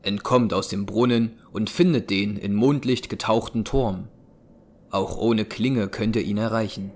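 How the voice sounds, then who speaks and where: A man's voice: fairly low pitch, measured pace. A man narrating an audio drama in a scripted, dramatic storytelling style. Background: music.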